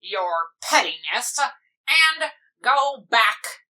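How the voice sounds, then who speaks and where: A woman playing a boastful, excitable, playfully bossy pony pirate captain in a cartoon fan dub, with theatrical pirate talk. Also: a little high, very slow, exaggerated voice. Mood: teasing, opinionated. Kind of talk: fan comic dub voice acting.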